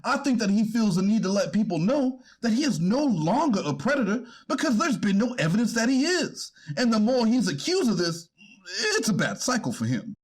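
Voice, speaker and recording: High, husky-voiced deferential defense attorney acted by a man. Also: sing-song, moderate pace, full character voice. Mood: earnest, pleading. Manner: deferential, formal, hesitant when challenged. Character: deferential male defense attorney pleading for his client. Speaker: actor reading a defense attorney. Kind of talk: readers theater courtroom transcript reading.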